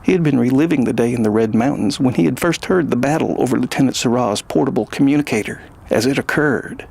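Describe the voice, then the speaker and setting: A man narrating a scripted science fiction story in a measured, dramatic manner. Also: mid-range voice, vocal fry, a little mellow, husky.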